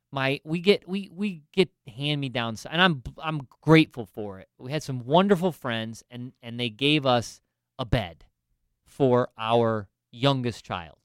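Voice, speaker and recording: A man's voice: medium pitch, a bit bright, clear, resonant tone, no room sound, studio quality. A man in finance telling a self-deprecating story in casual chat, with lots of restarts and asides. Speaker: finance professional.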